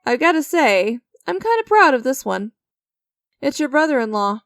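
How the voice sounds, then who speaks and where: A woman's voice: high voice, sing-song, normal speed, fairly bright tone, dry recording, full character voice. A woman playing an enigmatic, creepy stranger who strikes sinister deals in a dramatic audio roleplay, teasing and theatrical with a smug, coaxing manner. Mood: smug, playful.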